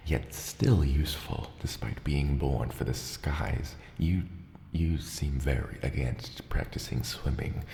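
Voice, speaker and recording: A fatherly old mountain dragon , acted by a man, speaks in a dark, mellow, gravelly murmur. He is a patient, gentle mentor in an ASMR roleplay, choosing formal words with small hesitations. His voice rings in a long, cavernous reverb. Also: heavily acted. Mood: gently coaxing. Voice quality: gravelly, very deep. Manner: hesitant, measured, formal wording with soft asides. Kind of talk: ASMR roleplay, soft slow scripted monologue. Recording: roomy long cave-like reverb, faint noise.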